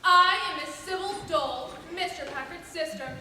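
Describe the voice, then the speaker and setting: A woman's voice: very high voice, moderate pace, bright, forceful tone, exaggerated voice. A woman acting a prim, indignant sister-in-law giving formal, precise testimony in a stage play. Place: auditorium stage, recorded from far off. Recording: echoey stage, distant, clear hiss.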